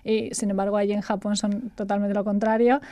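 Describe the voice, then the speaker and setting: A woman from Spain, a guest talking about her consulting work in a relaxed interview, chatty and laughing often, repeating words as she searches for them. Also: mid-range voice, fairly quick, no room sound. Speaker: guest, artificial intelligence consultant.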